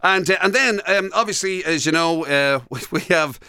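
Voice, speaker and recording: A middle-aged Irish man hosting a radio show, chatting casually in a meandering, halting way. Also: fairly high pitch, sharp tone, clean tone, dry recording, studio quality. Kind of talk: casual bantering chat.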